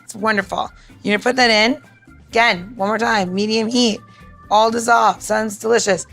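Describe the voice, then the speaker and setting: A woman's voice: fairly high pitch, fairly even intonation, moderate pace, fairly bright tone. Casual woman giving how-to instructions, light music. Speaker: podcast co-host demonstrating drink recipes.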